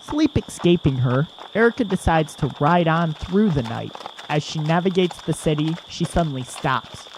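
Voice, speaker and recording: A man's voice: on the high side, animated, normal speed, heavy background noise. A man reading scripted dramatic narration for an audio drama.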